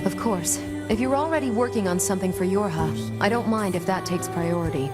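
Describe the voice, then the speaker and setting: Woman playing a brisk resistance leader. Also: mid-range voice, close to an everyday voice. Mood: businesslike. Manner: direct, informal, businesslike. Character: brisk, wry female android resistance leader. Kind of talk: English video game voice acting. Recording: game music playing behind.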